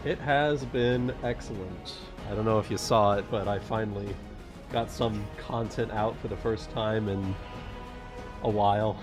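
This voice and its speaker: A man chatting casually as a livestream host, explaining things in a halting, stop-and-start way. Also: on the low side, fairly even intonation, music in the background. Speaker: livestream host and game master.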